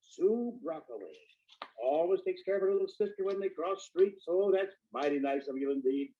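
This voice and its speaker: An actor playing a jolly, doting uncle who hosts a children's radio show in effusive, sing-song 1940s style. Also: male voice, high-pitched, lively intonation, normal speed, warm tone, a little hiss or hum. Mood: doting, cheerful. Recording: muffled old radio recording.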